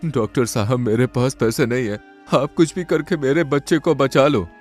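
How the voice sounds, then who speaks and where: A man's voice: mid-range voice, fairly even intonation, moderate pace, natural voice. A man voicing a poor, desperate village father, pleading and sorrowful, in a story over music.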